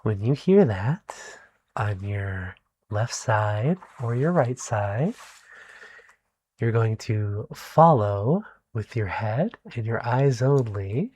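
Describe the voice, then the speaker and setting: A soft-spoken performer playing a gentle, kindly animal doctor in an ASMR roleplay, speaking soothingly and encouragingly with deliberate pauses. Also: medium pitch, expressive, slightly rough.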